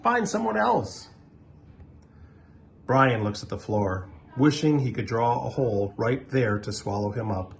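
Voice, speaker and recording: A man reading a children's story aloud in an animated, expressive way, with dramatic pauses. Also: lowish voice, a little hiss or hum.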